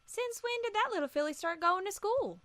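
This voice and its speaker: A woman's voice: very high-pitched, animated, fairly quick, a bit bright, dry recording. A woman voicing a folksy, plain-spoken young female farm pony with a Southern US accent in an audio story. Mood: easygoing, warm. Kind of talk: acted audio story dialogue.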